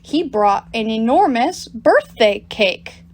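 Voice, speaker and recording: Slow, animated woman reading picture books. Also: on the high side. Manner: animated, deliberate storytelling. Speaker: storytime reader at a public library. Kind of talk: expressive picture-book read-aloud for children.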